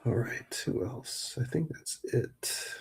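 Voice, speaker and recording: A man hosting a livestream card break, chatting casually, a little hoarse and nasal from a cold. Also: mid-range voice, slow. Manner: relaxed, chatty, many fillers.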